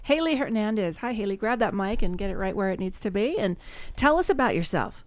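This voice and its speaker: A woman's voice: medium pitch, lively intonation, a little fast. A woman hosting an interview, asking questions and giving encouraging replies. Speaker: host.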